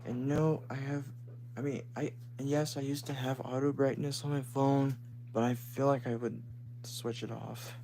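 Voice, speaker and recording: A man with a deep voice, chatting casually and haltingly on a live stream from home, with frequent restarts. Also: level tone, mellow. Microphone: phone. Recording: noisy phone stream with hum.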